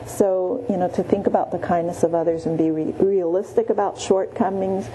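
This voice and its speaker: A woman teaching a meditation class in a gentle, halting way, often pausing and adding "yeah.". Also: on the low side, fairly even intonation, a little hiss or hum, rough recording. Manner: gentle, many pauses and restarts, frequent "yeah". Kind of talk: guided Buddhist meditation teaching. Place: meditation room.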